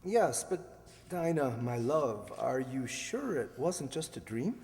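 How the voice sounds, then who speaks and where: A man playing a suave, unflappable gentleman in a radio comedy, teasing in a wry, drawn-out way. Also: mid-range voice, big pitch swings. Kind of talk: 1940s radio comedy acting. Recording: echoey hall stage recording.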